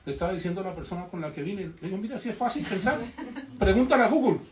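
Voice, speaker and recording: A man's voice: sits high, animated, very fast, crowd noise. A man from the audience making an emphatic, storytelling comment, full of rhetorical questions, at a bookshop event. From Colombia. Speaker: audience member. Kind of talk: spontaneous public comment. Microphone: distant room mic. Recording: echoey room, voice far from mic, faint noise.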